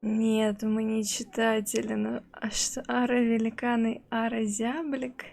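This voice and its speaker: A woman's voice: on the high side, level tone, unhurried, gravelly, a little mellow. A woman streamer giving casual, amused live commentary on a game, musing aloud to herself. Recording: game music behind the voice.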